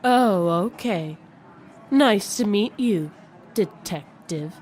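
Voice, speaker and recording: A woman's voice: medium pitch, lively intonation, takes it slowly, noisy background. A woman voicing a ditzy female reindeer in a comedic audio drama. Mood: ditzy, coy. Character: ditzy female reindeer doe, bar companion.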